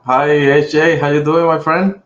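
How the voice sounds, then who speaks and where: A man's voice: fairly high pitch, flat delivery, unhurried. A middle-aged man with a Puerto Rican accent talking casually as an interview guest. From Puerto Rico. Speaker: podcast guest, pharmaceutical executive. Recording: roomy echo, slightly dull sound.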